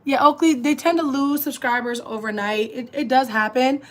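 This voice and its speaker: Woman, livestream host, raspy alto, a little high, loose casual chat with viewers. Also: fairly even intonation, moderate pace, a bit bright. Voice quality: lower alto voice. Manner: chatty, reads and answers comments aloud. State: raspy voice from illness.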